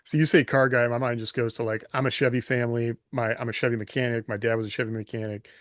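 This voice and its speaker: A man's voice: medium pitch, level tone, fast, a bit bright, no room sound. A man co-hosting a podcast in casual discussion, talking things through conversationally with questions and comparisons. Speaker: podcast co-host.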